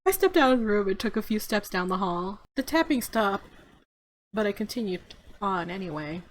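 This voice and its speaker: A woman's voice: medium pitch, very animated, normal speed, close to an everyday voice, poor audio. A woman voicing a nervous, curious young protagonist narrating a visual novel in the first person. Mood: nervous, suspenseful. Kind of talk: read-aloud first-person story narration.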